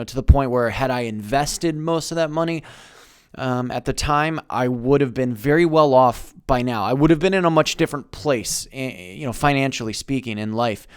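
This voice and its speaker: A man in his thirties talking to camera in a halting, reflective vlog, with many fillers and restarts. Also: medium pitch, clear, resonant tone, no echo, studio quality. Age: 30s. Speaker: YouTuber. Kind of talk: personal vlog monologue.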